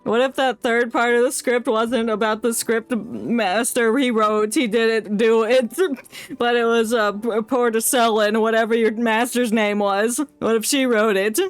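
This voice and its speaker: High-voiced, playful woman streamer. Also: steady tone, measured pace, clear, resonant tone. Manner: playful, jokey. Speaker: game streamer. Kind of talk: casual live game commentary. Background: game music.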